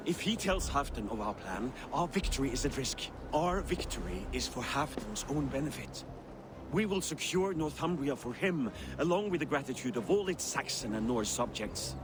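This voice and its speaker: An actor playing a cunning, secretive Viking war chieftain who talks in a conspiratorial, commanding way. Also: male voice, medium pitch, big pitch swings, moderate pace, noisy background, natural voice.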